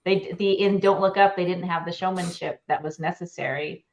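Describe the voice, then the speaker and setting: A woman's voice: lowish voice, steady tone, brisk, muffled recording. A middle-aged woman chatting casually as a panelist on an online show, from a room at home on a video call. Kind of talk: casual chat.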